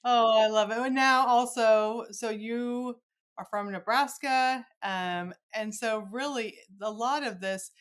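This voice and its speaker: A woman's voice: on the high side, slow, clean tone. An American woman hosting a casual podcast interview, encouraging and affirming as she asks questions. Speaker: podcast host.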